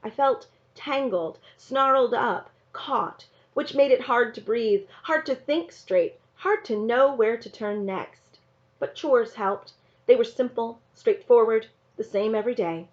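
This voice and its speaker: A woman reading a children's novel aloud in an expressive narrating style. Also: a little high, measured pace. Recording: slightly dull, lo-fi sound.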